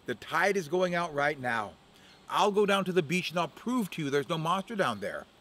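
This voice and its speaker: A man voicing a boastful, talkative male crow who brags and shouts. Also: a little high, very animated, normal speed, pitched above the performer's own voice. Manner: brash, bragging, shouting.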